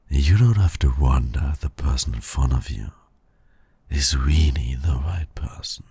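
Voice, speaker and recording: A man playing a suave, flirtatious villain who teases and courts his nemesis in an intimate ASMR roleplay, with a husky, gravelly, breathy voice. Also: rumbling low, dry recording, heavily acted. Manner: slow, breathy, suave teasing with soft chuckles.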